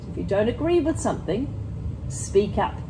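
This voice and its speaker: A middle-aged woman teacher reading a picture book aloud to children, with deliberate, expressive phrasing, talking directly to her young listeners. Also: medium pitch, a little hiss or hum, rough recording. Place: empty school classroom, indoors.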